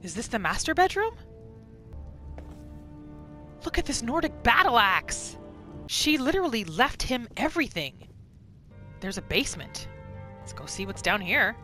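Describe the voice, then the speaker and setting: A woman hosting a gaming roleplay series, giving expressive playthrough commentary and telling her character's story. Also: fairly high pitch, normal speed, faint music behind. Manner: expressive, exclamatory reactions.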